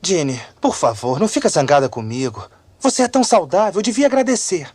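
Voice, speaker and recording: A sly teenager coaxes in a mock-sweet tone, the pitch swooping up and down. The dubbed film line is dry and close. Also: sing-song, brisk, darkish tone, no echo. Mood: coaxing.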